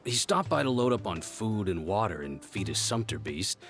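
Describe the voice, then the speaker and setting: Low-voiced, obliging village guard. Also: male voice, sing-song, over music. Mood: thoughtful, recalling. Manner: deliberate, obliging. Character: helpful, courteous village guard, easygoing and thoughtful. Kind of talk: video game voice acting.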